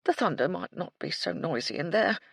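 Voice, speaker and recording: A woman voicing a nervous, fussy man with a thin, soft voice, speaking in an anxious, prim way. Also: lowish voice, sing-song, fairly quick, no echo, studio quality. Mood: fretful.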